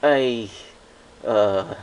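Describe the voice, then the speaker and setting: A man's voice: lowish voice, very slow. A man voicing a shy, polite young male pony who speaks hesitantly, in a story reading. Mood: nervous confessing. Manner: hesitant, soft-hearted. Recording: noisy home recording.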